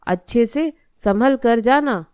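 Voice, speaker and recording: A woman voicing a gentle, practical grandmother in a cartoon moral story, speaking in a measured, placating way. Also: a little high, expressive, smooth, no room sound, clean studio sound. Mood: accepting. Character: gentle, practical grandmother soothing her husband.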